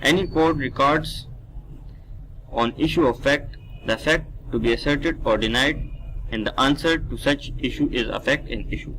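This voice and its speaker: Pakistani guy giving a law lecture, reads stuff out then explains it, pretty slow n careful, bit of background noise. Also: medium pitch, a bit bright, poor audio. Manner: deliberate, didactic, alternates reading and explanation. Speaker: law teacher. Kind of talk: lesson, reading statute text aloud and explaining it.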